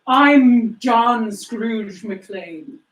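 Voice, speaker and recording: A woman's voice: on the high side, lively intonation, extremely slow. A woman playing a wary, tough male police detective in a script reading. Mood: guarded. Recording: echoey room, distant mic.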